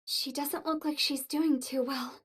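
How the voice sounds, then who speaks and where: An actress voicing a small, curious, concerned child in an English anime game dub, innocent and inquisitive. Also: female voice, high voice, fairly even intonation, unhurried. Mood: worried. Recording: music behind the voice.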